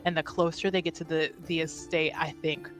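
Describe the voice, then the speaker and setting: A woman's voice: sits low, level tone, fairly quick, soft background music. A young woman player thinking aloud as she describes her character's actions in a tabletop game, hedging often.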